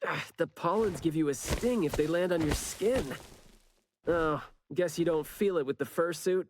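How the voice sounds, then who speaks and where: An actor playing a youthful, easygoing, inquisitive investigator in an anime game, talking casually and expressively. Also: male voice, fairly high pitch, normal speed, a little hiss or hum, lightly acted. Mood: curious, casual. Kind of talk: conversational anime game dialogue.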